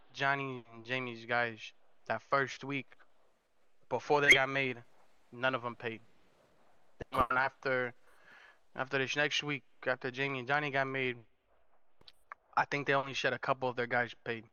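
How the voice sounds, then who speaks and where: Indebted mobster, crime game roleplay, man acting: forceful clear voice, halting explanations, slightly dull lo-fi sound. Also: medium pitch, little pitch movement, natural voice. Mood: explaining, uneasy. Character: indebted mobster explaining who owes what.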